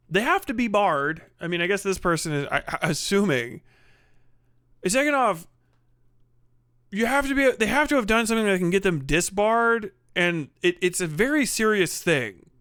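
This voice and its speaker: A man's voice: sits high, a bit bright, smooth, dry recording. A man hosting a livestream, ranting casually with sarcasm and profanity, laughing at his own jokes into a close mic. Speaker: livestream host.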